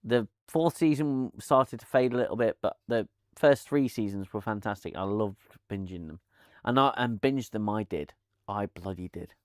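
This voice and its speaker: Man, lowish voice, chatty live-stream host, rambling restarts, dry close lavalier sound. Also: moderate pace, darkish tone, dry recording. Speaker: channel host. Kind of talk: casual live-stream chat. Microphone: lavalier mic.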